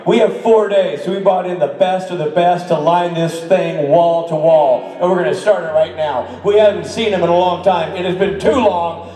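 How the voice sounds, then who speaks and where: A man co-hosting a convention stage, joking and shouting announcements to the crowd. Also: high-pitched, steady tone, moderate pace, background noise. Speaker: convention co-host. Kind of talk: emcee banter on stage. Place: large convention hall stage. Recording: echoey hall, distant mic, music.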